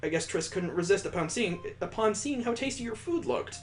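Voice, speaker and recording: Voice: medium pitch, animated, a little fast, soft background music, close to an everyday voice. A let's player voicing a curious, polite student in a visual novel. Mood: apologetic. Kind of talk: visual novel character reading.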